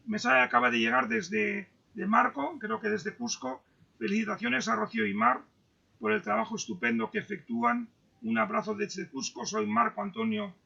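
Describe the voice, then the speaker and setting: A man moderates an online talk over a dull, lo-fi video call. His voice sits high and heavily gravelly, with a bright, tense edge. He halts and repeats words as he reads out questions. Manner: halting, stumbles and repeats words. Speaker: moderator reading audience questions.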